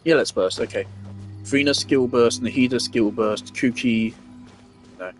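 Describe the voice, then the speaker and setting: A man giving casual gameplay commentary, thinking out loud with fillers and the odd swear. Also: medium pitch, normal speed, faint music behind. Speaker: gamer testing team setups.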